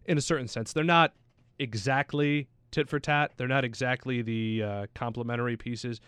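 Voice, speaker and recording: A man's voice: medium pitch, steady tone, normal speed, a bit bright, clean tone, no room sound. A man chatting casually about sports as a pundit, in a slangy, anecdotal way. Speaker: soccer pundit on a talk show.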